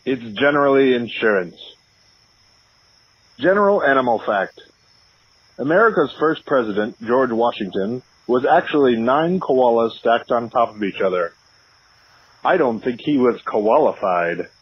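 Husky deadpan caller played by a man, lo-fi line. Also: medium pitch, unhurried. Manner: deadpan, announcer-like recitation. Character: stubborn, deadpan male prank caller reciting absurd animal facts. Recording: dull, lo-fi phone-in line.

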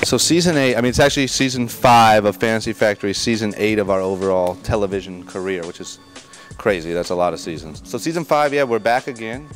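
A young man giving a casual tour of a large indoor space, talking in a relaxed, matter-of-fact way into a handheld mic. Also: fairly low pitch, quick pace, soft background music. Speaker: tour guide, music producer. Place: large indoor warehouse office.

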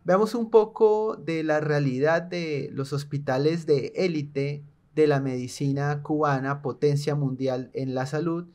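A man's voice: fairly high pitch, measured pace, few pauses, a little mellow. A young man from Colombia giving sarcastic, indignant political commentary in a small room. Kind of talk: opinionated video commentary.

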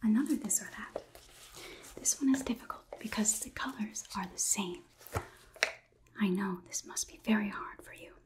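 A young woman playing a polite, curious, clinical robot overlord in a whispered ASMR roleplay, with measured, formal delivery. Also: medium pitch, close to an everyday voice. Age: young adult. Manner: slow, measured, deliberate, formal wording. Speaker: ASMR performer.

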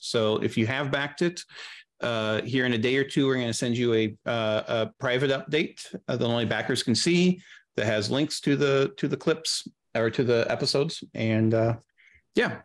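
A middle-aged man chatting casually as an interview guest on a video call, thinking aloud in a halting way. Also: mid-range voice. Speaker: guest, audio drama creator. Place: small room on a video call.

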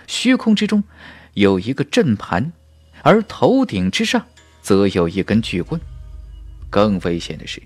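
Male narrator for fantasy audiobook: unhurried, theatrical delivery, widely swinging pitch, suspenseful pauses. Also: breathy edge, dry recording. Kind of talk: dramatic scripted narration of a fantasy novel.

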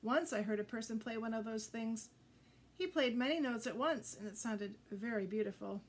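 A woman voicing a kindly, cautious old female sea turtle teacher in a storybook, measured and advising. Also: a little high, breathy edge. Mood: thoughtful. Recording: faint background noise.

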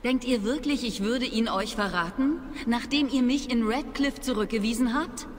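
An actress playing a proud, aloof young witch in a video game, with measured, formal, disdainful speech. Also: fairly high pitch, close to an everyday voice. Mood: bitter, disdainful. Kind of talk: dramatic video game dialogue. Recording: long reverb, noisy game ambience.

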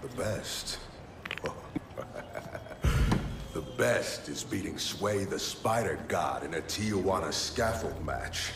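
Deep, gravelly, pompous villain wrestler. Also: male voice, animated, slow pace, pauses often, heavily acted. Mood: haughty. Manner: theatrical, grandiose boasting. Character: pompous, menacing male champion wrestler villain. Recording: echoey hall with long reverb, background noise.

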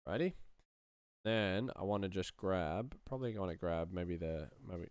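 A man's voice: deep voice, unhurried, noisy background. A man in his thirties muttering in fragments as he casually narrates his work at a computer. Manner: muttering, thinking aloud in fragments. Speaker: sound designer, streamer. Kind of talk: casual screen-share commentary while working. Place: small indoor room at a computer.

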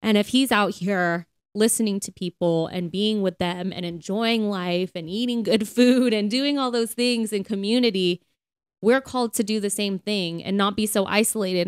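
Smooth, clear-voiced woman in podcast chat. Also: mid-range voice, dry recording, studio quality. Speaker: podcast co-host. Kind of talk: casual faith podcast chat.

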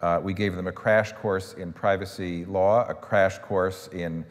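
A middle-aged man with a fairly low voice talks haltingly on a panel, repeating words as he gathers his thoughts. He wears a lapel mic on an auditorium stage. The sound has a long hall reverb. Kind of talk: panel discussion.

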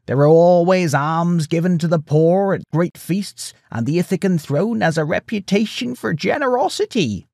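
A croaking old beggar from a storybook tale, played by a male narrator, pitched well above an everyday male voice. He wheedles slyly and meekly, with a creak in every phrase, in a dry close recording. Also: expressive, moderate pace, few pauses, a little mellow, no room sound, exaggerated voice.

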